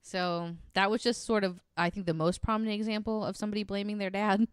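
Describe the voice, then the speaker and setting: A woman's voice: medium pitch, steady tone, fast talker, clean tone. A woman hosting a true crime podcast in casual, giggly, informal talk. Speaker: podcast host.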